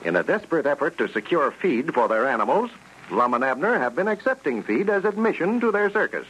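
An American man announcing in polished 1930s radio style, presenting sponsor pitches and story recaps. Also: sing-song, brisk, fairly bright tone, breathy edge. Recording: noisy old 1930s radio recording.

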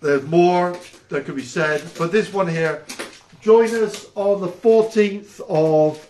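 Animated man preaching at home. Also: sits high, takes it slowly, a little hiss or hum. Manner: animated, emphatic, rhetorical questions. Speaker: house church pastor. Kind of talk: informal home sermon to camera. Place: living room by patio doors.

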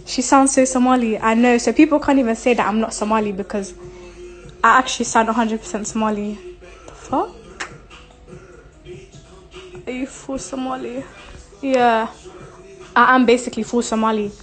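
Blunt, high-voiced young woman on a phone livestream. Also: steady tone, brisk, soft background music. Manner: blunt, profane, laughing, reads comments aloud. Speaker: social media personality answering viewer questions. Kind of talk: casual livestream Q&A chat. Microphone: phone held close, selfie framing.

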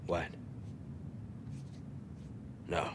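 A man's voice: drawn-out words, noisy background. An actor playing a loyal, blunt soldier in his thirties in a game, talking in a casual, plain-spoken way. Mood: concerned. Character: loyal, blunt young male militia soldier. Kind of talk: naturalistic game cutscene acting.

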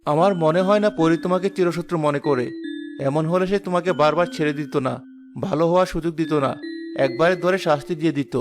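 A man voicing a kind, patient young village fisher boy in a cartoon, with a gentle, reassuring manner. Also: fairly high pitch, fast talker, music in the background, lightly acted. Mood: friendly, calm. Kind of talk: animated cartoon dialogue.

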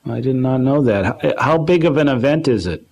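A man's voice: medium pitch, expressive, fairly quick, darkish tone. A man hosting, asking a phone guest short, curious questions. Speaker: radio club host. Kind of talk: interviewing.

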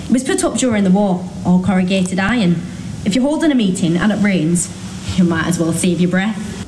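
A woman's voice: mid-range voice, lively intonation, a little fast, a little mellow, lightly acted. A woman playing a chatty, opinionated villager grumbling frankly in an amateur radio play. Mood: frank, disapproving. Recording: echoey room, faint noise.

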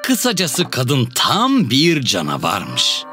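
A man's voice: medium pitch, wildly swooping pitch, takes it slowly. A man narrating a scripted children's fairy tale as the storyteller. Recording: loud music under the voice.

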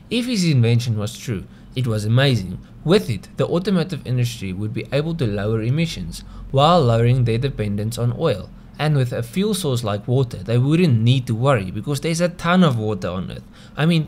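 A man's voice: mid-range voice, darkish tone, a little hiss or hum. A man with a South African accent narrating a scripted explainer video in a conversational way, often posing rhetorical questions. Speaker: video host.

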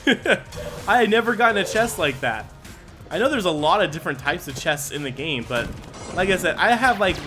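A young man giving casual, excitable commentary while playing a video game, often exclaiming and stumbling over his words. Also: fairly high pitch. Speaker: gaming YouTuber. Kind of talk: casual let's play commentary. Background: game music.

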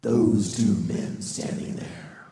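A man playing a grave, commanding ghost of Christmas future in a radio drama read on stage, speaking slowly and solemnly in a breathy voice. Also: dark tone, close to an everyday voice. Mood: ominous, solemn. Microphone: handheld-type stage mic on stand, close. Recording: echoey theatre stage.

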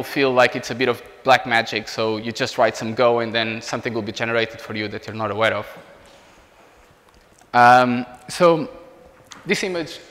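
A man in his thirties with a Portuguese accent, giving an informal technical presentation as a software engineer. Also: mid-range voice. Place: indoor meetup room beside a large screen. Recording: reverberant hall sound.

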